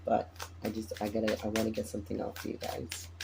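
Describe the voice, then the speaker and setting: A man with a raspy morning voice, tired, chatting casually as he lays out a tarot reading and repeats his phrases. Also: fairly low pitch, monotone, fast, noisy background. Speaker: tarot reader.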